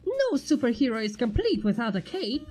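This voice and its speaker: Lady putting on a excitable skeleton dude from a story, high voice, super pompous, declares everything. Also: moderate pace, faint music behind. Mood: proud, happy. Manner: pompous, emphatic proclaiming. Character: loud pompous excitable male skeleton, grandly earnest.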